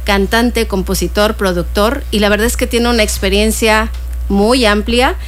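A woman's voice: mid-range voice, fairly even intonation, normal speed. A woman, a guest on a talk show, earnestly introducing and praising a performer. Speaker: guest, event presenter. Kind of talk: interview conversation.

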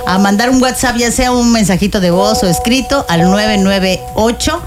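A woman's voice: mid-range voice, normal speed, music in the background. A middle-aged Mexican woman co-hosting a chatty live radio programme, affable and courteous to her listeners. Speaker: radio show co-host.

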